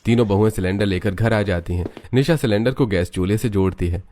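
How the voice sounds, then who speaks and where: A man narrates a story from a script. His voice sits on the low side, and he delivers it as a storyteller recounting events. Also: fast talker, mellow.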